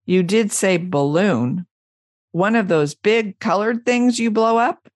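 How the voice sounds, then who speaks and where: A woman's voice: mid-range voice, slow pace, a little mellow, dry recording, studio quality, voice pushed up, close to an everyday voice. A woman voicing a gloomy, doleful male donkey from a children's storybook, mournful and resigned. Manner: mournful, resigned, hesitant questioning.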